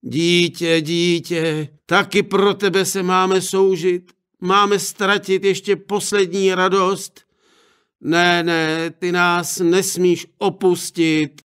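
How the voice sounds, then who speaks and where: A man's voice: sits high, flat delivery, a bit bright, clear, resonant tone, pitched above the performer's own voice. A man voicing a pair of grieving old parents in a fairy tale, anguished and lamenting. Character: grieving elderly parents speaking together.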